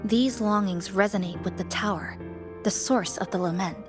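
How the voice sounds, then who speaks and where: A woman's voice: mid-range voice, normal speed, close to an everyday voice. A woman playing a reserved, serious young female fighter in a video game, speaking in a restrained, matter-of-fact way. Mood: measured. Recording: music behind the dialogue.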